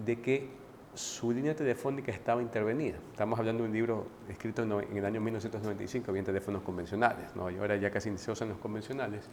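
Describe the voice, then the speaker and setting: A man giving a casual, conversational book commentary to his viewers, often pausing to restart and adding tag questions. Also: lowish voice, darkish tone, a little hiss or hum. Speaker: book reviewer.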